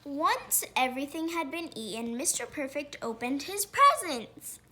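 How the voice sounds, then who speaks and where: Bright, high-voiced woman reading a storybook. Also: big pitch swings. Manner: pauses to describe pictures and question listeners. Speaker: storybook reader. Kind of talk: reading a children's picture book aloud.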